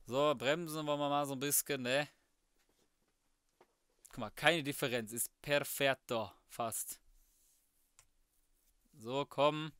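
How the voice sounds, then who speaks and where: A man's voice: medium pitch, steady tone, slow pace, pauses often, fairly bright tone, smooth, no echo. A young man commenting casually on his gameplay, thinking aloud in slangy, colloquial speech. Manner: colloquial, slangy, muttering to himself. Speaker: let's player.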